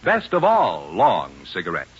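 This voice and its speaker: Deep-voiced vintage radio announcer, swooping delivery. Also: male voice, huge pitch swings, a little slow, husky, heavily acted. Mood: polished, selling. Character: authoritative 1950s radio announcer. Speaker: announcer and cigarette pitchman. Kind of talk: 1950s radio announcing, dramatic scripted narration and advertising. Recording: old radio broadcast, dull, loud music behind.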